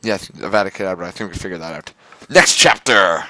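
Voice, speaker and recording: A man's voice: low voice, lively intonation, a little fast, bright, forceful tone, dry recording. A man doing a mock-dramatic amateur reading, stumbling over the words and breaking off for exasperated asides. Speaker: amateur reader of fan fiction.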